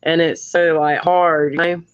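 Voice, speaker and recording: A woman with a thick Southern drawl, talking slowly and easily in a casual chat. Her low voice is smooth and full, with a dry, close sound that is a little dull and lo-fi. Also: flat delivery. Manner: slow, drawling and laid-back.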